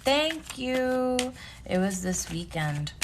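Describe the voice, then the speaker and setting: A woman's voice: mid-range voice, very animated, takes it slowly, slight background noise. A woman in her thirties hosting a casual live tarot stream, chatty, greeting viewers by name and laughing often. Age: 30s.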